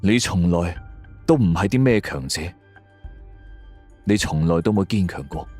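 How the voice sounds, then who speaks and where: A man's voice: low-pitched, lively intonation, a little slow, a little mellow, faint music behind. A man voicing a cold, scornful police investigator in a suspense audio story. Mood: icy contempt. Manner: cold, taunting.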